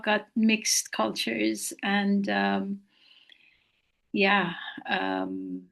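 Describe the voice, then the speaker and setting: A woman in her fifties from northern Iran, soft-spoken and unhurried, introducing herself on a video call. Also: medium pitch, gravelly. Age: 50s. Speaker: co-host of prayer gathering. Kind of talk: casual introduction. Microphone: video call microphone.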